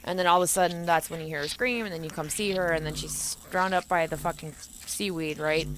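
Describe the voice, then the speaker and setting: A woman's voice: deep voice, a little fast, fairly bright tone, poor audio. A woman co-hosting a podcast, casually improvising story ideas in blunt, slangy, sweary language. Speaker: podcast co-host.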